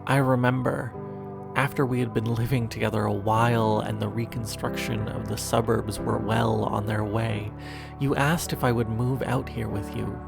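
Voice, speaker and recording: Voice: mid-range voice, flat delivery, creaky. A performer voicing a wistful narrator of scripted audio fiction, speaking intimately and reflectively to a lost lover over music. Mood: reflective, questioning. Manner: reflective, measured, with rhetorical questions. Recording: music under the voice.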